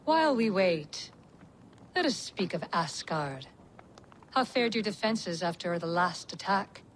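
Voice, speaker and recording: A woman playing a cunning, vengeful witch in a video game, speaking in a deliberate, taunting and threatening way. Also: lowish voice, expressive, a little hiss or hum, poor audio. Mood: sly, taunting. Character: menacing, cunning female witch, vengeful mother of a monster. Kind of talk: video game cutscene dramatic acting.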